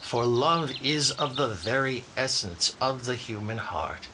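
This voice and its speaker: A man's voice: medium pitch, animated, a little slow, natural voice. Man acting solemn minister, sweeping intonation. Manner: grave, florid, declamatory. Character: solemn minister delivering a funeral eulogy. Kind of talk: solemn oratory, radio drama acting. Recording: clearly noisy background.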